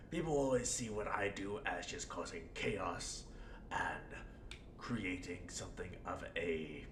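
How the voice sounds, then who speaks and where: Male amateur performer as scheming clown villain, low gravelly voice, sardonic rambling, erratic muttering and outbursts, roleplay monologue, roomy echo. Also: exaggerated voice. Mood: scheming, persuasive. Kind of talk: in-character audio roleplay monologue. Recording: roomy echo, faint rumbling background noise.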